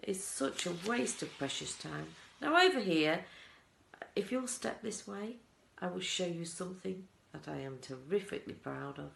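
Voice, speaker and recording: Woman voicing an excitable, bossy storybook chocolate inventor. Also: fairly low pitch, gravelly, full character voice. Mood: scolding, urgent. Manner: exclamatory, hurried, boastful, scolding. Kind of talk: children's storybook character reading. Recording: roomy, echoing indoor sound.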